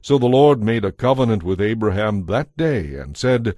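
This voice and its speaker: A man narrating scripture from a script as a solemn, measured storyteller. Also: fairly low pitch, lively intonation, a little mellow, no room sound, clean studio sound.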